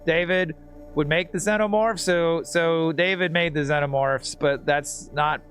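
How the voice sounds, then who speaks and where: A man's voice: on the high side, steady tone, a little slow, fairly bright tone. A man streaming a video game, giving casual, slangy, rambling and joking commentary to his chat. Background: video game music.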